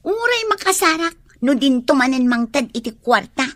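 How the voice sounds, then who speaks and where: A spoiled, resentful daughter in an Ilocano radio drama. Her high voice swings widely in pitch, with an Ilocano accent, and is recorded very dry. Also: big pitch swings, moderate pace, no echo. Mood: resentful, nagging.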